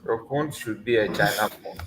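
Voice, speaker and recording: A man's voice: medium pitch, bright, forceful tone, slight background noise. Guy on a video call, joking around, voice jumps all over, echoey room. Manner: teasing, laughs often, encouraging. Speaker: mock visa interview coach. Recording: echoey room with faint ambience.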